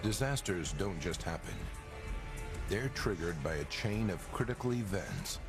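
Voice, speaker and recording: A man's voice: low voice, animated, a little slow. A man with an American accent reading dramatic documentary narration in a grave, suspenseful manner. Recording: loud dramatic music underneath. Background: dramatic score.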